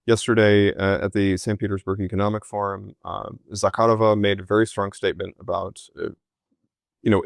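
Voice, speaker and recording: A man's voice: fairly low pitch, gravelly, no room sound, studio quality. A man, a historian answering interview questions with many fillers and restarts. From United States. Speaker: historian guest.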